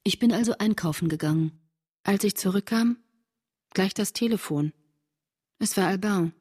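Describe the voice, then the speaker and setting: An actress playing a reflective woman reading from her wartime diary in a measured, intimate literary radio drama delivery, with a slightly husky voice. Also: mid-range voice, a little mellow, close to an everyday voice. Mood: matter-of-fact.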